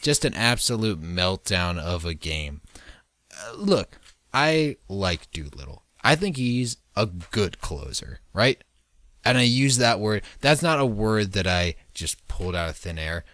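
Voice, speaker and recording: A man's voice: fairly low pitch, big pitch swings, measured pace, a bit bright, dry recording, studio quality. A young man co-hosting a podcast, joking and rambling about sports, getting worked up as he argues. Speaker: podcast co-host. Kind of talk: casual sports chat.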